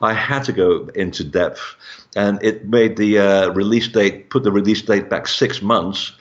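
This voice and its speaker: A man's voice: on the low side, measured pace, lo-fi sound. A man speaking as a guest author in a casual, discursive interview about his own work. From born in Portugal.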